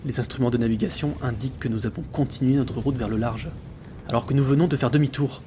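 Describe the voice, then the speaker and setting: An actor playing a troubled, earnest sailor who reads out his logbook in a grave, measured way for an audio drama. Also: medium pitch, noisy background, lightly acted. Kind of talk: dramatic diary narration in French audio fiction.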